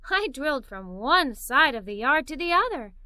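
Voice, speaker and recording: A woman tells a story for young children in a high, very animated voice. Her tone is bright and the sound is dry, with expressive delivery suited to a read-aloud. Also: normal speed, no echo. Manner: expressive, performs the characters' dialogue.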